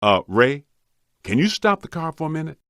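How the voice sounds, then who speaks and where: An actor playing a streetwise, wisecracking ghost hunter in a video game, practical and exasperated, with casual, slangy, punchy delivery. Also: male voice, on the high side, sing-song, normal speed, a bit bright, smooth, no echo, clean studio sound.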